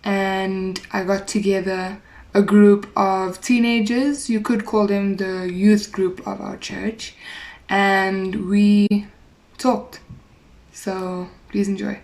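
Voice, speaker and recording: Slow-spoken young woman leading a sermon. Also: medium pitch, little pitch movement, a little mellow. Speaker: youth sermon leader. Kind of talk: informal sermon introduction.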